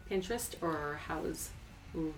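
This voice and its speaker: A woman's voice: deep voice, slow, over music, rough recording. A middle-aged woman chatting casually as a podcast guest, agreeable, informal and enthusiastic. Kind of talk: casual chat.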